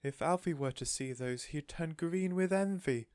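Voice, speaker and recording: Man putting on a high-pitched, gushing storybook lady. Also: normal speed, a little mellow, clean tone, no room sound, studio quality, close to an everyday voice. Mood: wistful, eager. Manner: gushing, effusive, exclaiming. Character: sweet, gushing woman doting on her pet tortoise. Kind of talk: storybook character voice in audiobook reading.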